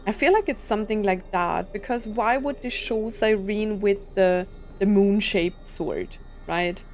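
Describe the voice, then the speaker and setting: A woman's voice: mid-range voice, unhurried, darkish tone, slight background noise. A woman giving casual playthrough commentary on a game, thinking aloud in a musing, tentative way. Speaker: gaming content creator.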